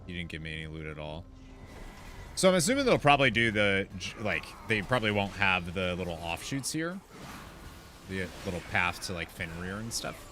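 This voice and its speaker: A man streaming a video game, giving informal, off-the-cuff commentary in a casual, animated way. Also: sits low, a little fast, a little hiss or hum. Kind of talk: casual livestream gameplay commentary.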